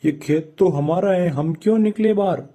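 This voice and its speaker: A man's voice: high voice, moderate pace, dark tone, pitched above the performer's own voice. A man voicing a humble, earnest poor village father in a cartoon moral story. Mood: protesting. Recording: music bed under the voice.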